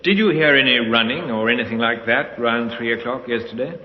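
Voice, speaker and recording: A man's voice: medium pitch, a little fast, close to an everyday voice. Man, dutiful police sergeant played by an actor, clipped, matter-of-fact, dull vintage radio sound. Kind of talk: 1950s radio drama acting.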